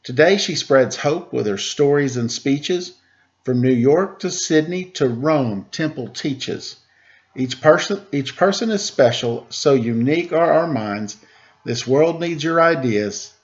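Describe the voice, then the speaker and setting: A man, a teacher, reading a rhyming picture book aloud to children in a lively way and asking them questions. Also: fairly high pitch, measured pace, dull audio. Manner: lively rhyming delivery with rising questions to listeners. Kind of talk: storybook read-aloud to children.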